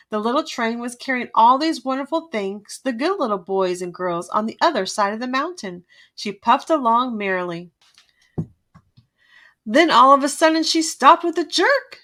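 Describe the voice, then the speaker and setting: Female children's storyteller: high, bright voice, expressive read-aloud delivery.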